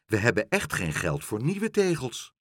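A man voicing a mild, dreamy mayor in a children's storybook, musing and gently pleased. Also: medium pitch, wildly swooping pitch, moderate pace, no room sound, clean studio sound, full character voice. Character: mild, dreamy, delighted mayor who loves art.

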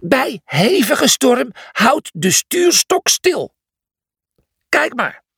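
A man's voice: very high voice, very animated, drawn-out words, creaky, a bit bright, husky, no echo, studio quality, pitched above the performer's own voice, exaggerated voice. A man voicing a small, fretful male animal sidekick in a children's storybook, shrieking and panicky. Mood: insistent, frantic.

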